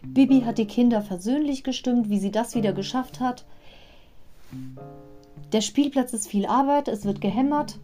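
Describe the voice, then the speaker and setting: A woman's voice: on the high side, normal speed, long pauses, warm tone, soft background music. A woman hosting a fan podcast, retelling a children's audio story in a chatty, digressive way with personal asides. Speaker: podcast host.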